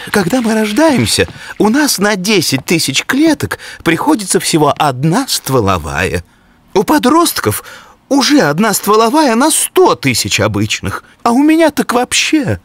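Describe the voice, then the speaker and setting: A man's voice: high-pitched, huge pitch swings, measured pace, a bit bright, no echo, exaggerated voice. A man voicing a gloomy, learned, ageing cartoon moose who speaks theatrically, as if lecturing, in a children's animation. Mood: resigned. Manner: melancholy, theatrical, explanatory. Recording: music under the voice.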